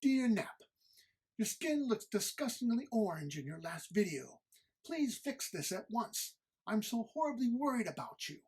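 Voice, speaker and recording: A woman performs a disdainful, scolding companion in an audio comedy. The husky voice is arch and formal, full of dry sarcasm and exasperation. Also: fairly high pitch, sing-song, normal speed, darkish tone, exaggerated voice. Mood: sarcastic. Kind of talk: informal script read-through of audio comedy.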